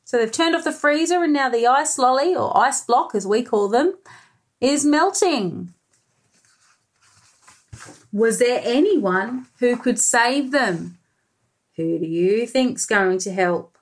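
An Australian-accented woman, a preschool teacher in her thirties, reading a picture book aloud expressively with questions and deliberate emphasis. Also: on the high side, lively intonation. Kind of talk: picture-book read-aloud for young children. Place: small room indoors by a window.

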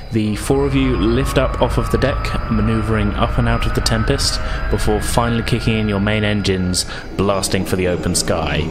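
A man's voice: fairly low pitch, noisy background. A man narrating a tabletop role-playing story as game master, in prepared, descriptive prose.

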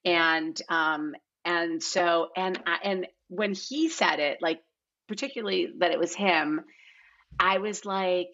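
Middle-aged woman, low register, informal interviewee, slightly dull call audio. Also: fairly even intonation, slow, sharp tone. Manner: informal, repeats herself for emphasis. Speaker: casting director, podcast guest. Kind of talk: casual podcast interview talk. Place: large living room at home.

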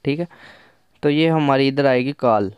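Casting: male tech reviewer, very smooth clear voice, dry close sound, casual step-by-step explaining. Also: mid-range voice, unhurried, no echo, highs cut off. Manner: conversational, instructional, mixes in English terms. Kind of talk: casual hands-on phone app tutorial.

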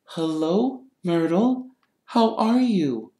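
A reader doing a brisk, high-voiced sensible schoolgirl. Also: sing-song, darkish tone. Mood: placating. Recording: roomy echo.

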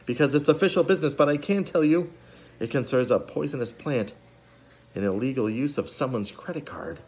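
A man's voice: medium pitch, brisk, darkish tone, slightly rough, lightly acted. A man voicing a kindly, slightly flustered woman judge in a storybook reading. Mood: confiding. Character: kindly middle-aged woman judge, warm and gentle.

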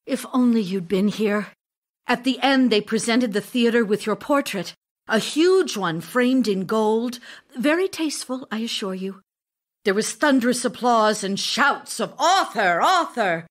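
Vivacious, theatrical devoted wife. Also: female voice, fairly high pitch, lively intonation, a little slow. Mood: keyed up, affectionate. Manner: theatrical, effusive, teasing. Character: vivacious, self-dramatizing actress wife, devoted yet restless. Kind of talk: audio drama acting, reading love letters.

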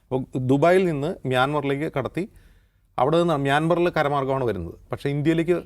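A man's voice: on the high side, fast talker. A man with a Kerala accent speaking as a news panelist, explaining current affairs in detail. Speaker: news anchor and panelist on a news discussion show.

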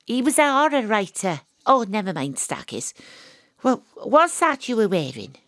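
An actress playing a troubled, weary woman private detective with a dramatic, halting delivery in an audio drama. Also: fairly high pitch, sing-song, fairly bright tone, no echo, studio quality. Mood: weary, evasive.

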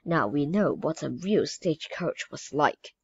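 A woman's voice: low voice, lively intonation, unhurried, slightly rough, highs cut off, heavily acted. Biff, a woman reading as an eager girl.

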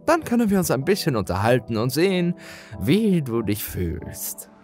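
A man voicing a mysterious older man in a horror story, slyly friendly, with a slow, drawn-out delivery. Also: medium pitch, huge pitch swings, darkish tone, soft background music, voice pushed up. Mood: amiable, scheming. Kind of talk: horror audio story character acting.

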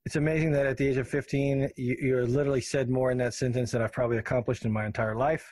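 Man, podcast host, mid-range pitch, upbeat and joking, unscripted. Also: level tone, quick pace, darkish tone. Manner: jokey, chatty.

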